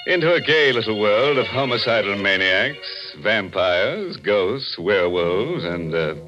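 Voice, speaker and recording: An actor playing a ghoulish, mock-genial American horror host on a 1940s radio show, teasing and macabre. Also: male voice, sits low. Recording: muffled old radio recording with music.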